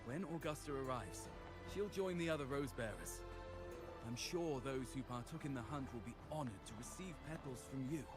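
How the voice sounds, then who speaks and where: A man's voice: medium pitch, lively intonation, normal speed, close to an everyday voice. An actor voicing a courteous, formal male ceremonial official in a video game, polite and reassuring. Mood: courteous, hopeful. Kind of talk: scripted game character dialogue. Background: game music.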